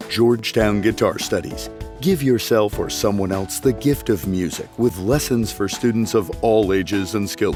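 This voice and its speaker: A man's voice: lowish voice, darkish tone. A man reading scripted sponsor messages as an announcer. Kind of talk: scripted ad narration.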